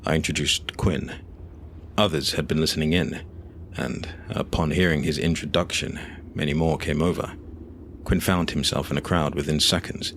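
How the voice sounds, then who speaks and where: A man narrating a scripted fantasy audio drama in a measured, solemn way, over faint noise and ambience. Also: a bass voice, fairly even intonation, fairly bright tone.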